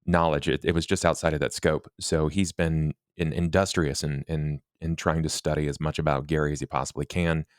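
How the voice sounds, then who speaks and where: This is a man with a remarkably deep voice, recorded dry. He speaks as a tabletop player, picking his words hesitantly and often repeating them. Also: flat delivery, brisk, hardly any pauses, dry recording. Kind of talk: describing his character in third person.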